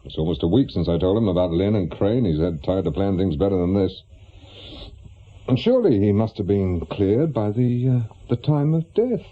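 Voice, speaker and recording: A man playing a steady middle-aged private investigator with an English accent, speaking informally and frankly in a radio crime drama. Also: on the low side, moderate pace. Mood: defensive, reasoning. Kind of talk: naturalistic British radio crime drama acting. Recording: dull, lo-fi radio drama recording.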